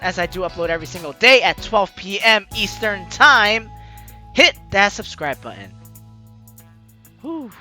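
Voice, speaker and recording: A gaming host gives let's play commentary with a bright, forceful edge and very animated pitch. The delivery is expressive and effusive, full of exclamation. Light game music plays behind the voice. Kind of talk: let's play commentary, also reading on-screen text aloud.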